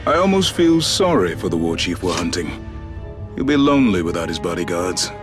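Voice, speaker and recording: Casting: wry game soldier, low lively voice, steady and dry. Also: male voice, fairly quick, music in the background. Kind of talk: video game cutscene acting.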